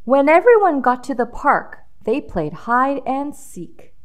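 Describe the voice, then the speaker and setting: A woman reads a picture book to young children. Her voice sits fairly high and swings expressively up and down. She takes her time, leaning on key words with dramatic emphasis. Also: big pitch swings, slow pace. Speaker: children's storybook reader. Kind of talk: expressive read-aloud storytelling with questions to young listeners.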